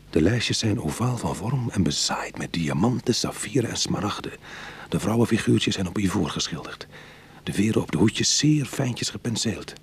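A man playing a streetwise reformed burglar who tells his own uneasy story in a radio drama, husky and confiding. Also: on the low side, heavily acted. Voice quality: husky, breathy. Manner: hushed confiding narration, exclamatory in dialogue.

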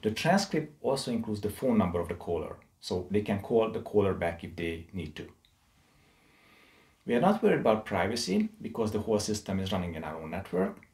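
A middle-aged man narrates a software tutorial. His voice is low and soft-edged, with very lively pitch movement as he explains each step deliberately. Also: vocal fry. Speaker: presenter.

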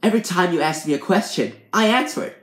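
A man's voice: high-pitched, lively intonation, quick pace, fairly bright tone, voice pushed up. A man voicing a hapless, cheeky everyman in a comic story, protesting in exasperation. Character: hapless, cheeky man narrating his misadventures. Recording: roomy, echoing room sound.

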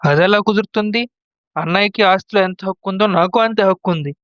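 A man's voice: high-pitched, a little fast, fairly bright tone, dry recording. A man playing a hot-headed, resentful younger son in a family story, in slightly muffled sound. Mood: aggrieved. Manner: aggrieved, confrontational.

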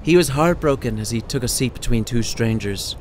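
A man's voice: mid-range voice, lively intonation, brisk, a little hiss or hum, rough recording. A man reading his own short story as scripted narration, with a dramatic, playful storytelling delivery. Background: music under the voice.

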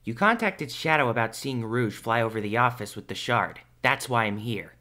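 Casting: male actor as earnest cartoon hedgehog hero, young, persistent, mid-pitched, a little uneasy. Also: expressive, moderate pace, pushed and bright. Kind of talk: fan audio drama acting.

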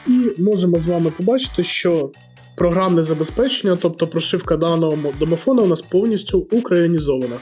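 A man's voice: fairly high pitch, warm tone. A man presenting a product review in a casual, explanatory walkthrough style, with music playing under his voice. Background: background music.

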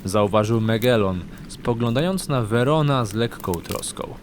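A man's voice: medium pitch, expressive, a little slow, a little hiss or hum. A man narrating a fantasy audio drama in a scripted, dramatic storytelling style.

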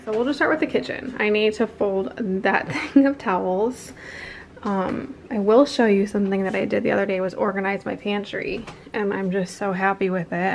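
Female home vlogger, 30s, casual and chatty, mid-pitched, slight room noise behind. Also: creaky. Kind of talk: casual chatty vlog talk. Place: indoors in a house living area.

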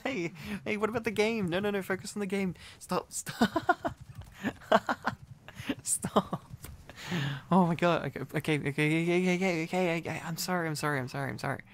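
A man's voice: sits high, normal speed. A man playing a needy, silly, affectionate boyfriend in an intimate ASMR roleplay, rambling and teasing playfully. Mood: giggly, playful.

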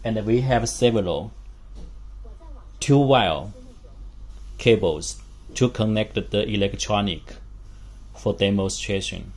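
A man giving a hands-on instructional demonstration as a product demonstrator, speaking haltingly in short phrases in a small room. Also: lowish voice. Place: small indoor room with a work table. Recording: steady background room noise.

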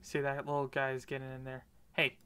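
A man's voice: mid-range voice, monotone, moderate pace, pushed and bright, a little hiss or hum. A man giving casual, rambling commentary over his gameplay, with lots of fillers. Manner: rambling, many fillers, upbeat. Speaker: gaming video creator.

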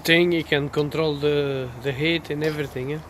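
A man's voice: on the high side, fairly even intonation, moderate pace. A man from Portugal with a Portuguese accent, co-hosting a cooking vlog outdoors and cheerfully commenting, often ending lines with a questioning "eh?". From Madeira, Portugal. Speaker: co-host. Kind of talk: casual commentary. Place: small back garden, outdoors. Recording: outdoor recording with clear background noise.

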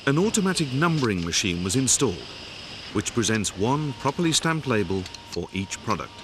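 A man giving scripted, measured narration for a factory training film, recorded as a studio voice-over. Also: mid-range voice, big pitch swings, background noise. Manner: measured, explanatory. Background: background music.

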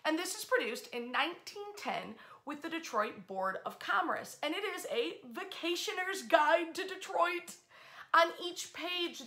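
A woman in her thirties hosting informal history storytelling to camera, with emphatic, expressive delivery. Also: high-pitched. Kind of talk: informal storytelling to camera, part read aloud. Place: small bedroom, indoors.

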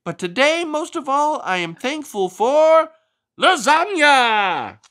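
A man's voice: very high voice, sing-song, slow, sharp tone, pitched above the performer's own voice, exaggerated voice. A man voicing a jolly, sweet-natured turkey who warbles gobbles and speaks in an earnest, singsong way in a children's story. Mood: joyful, grateful.

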